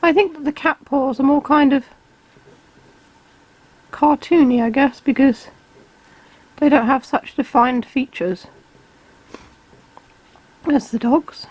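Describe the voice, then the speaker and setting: A woman, calmly explaining each step of a drawing tutorial while she sketches. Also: high-pitched, slow, long pauses, dry recording, rough recording. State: has a cold, slightly hoarse. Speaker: art tutor.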